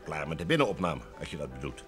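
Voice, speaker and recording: A worldly, breezy male film director in a vintage radio play. His voice is deep and very animated, with sociable, slangy delivery. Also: fast talker, faint music behind, heavily acted. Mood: jovial. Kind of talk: old Dutch radio drama acting.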